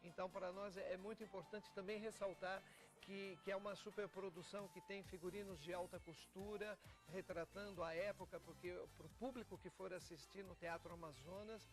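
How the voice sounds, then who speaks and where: High-pitched Brazilian man explaining in an interview. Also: fairly even intonation, over music. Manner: explanatory, with frequent pauses and repeats. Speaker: theatre company member being interviewed. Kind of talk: TV interview talk.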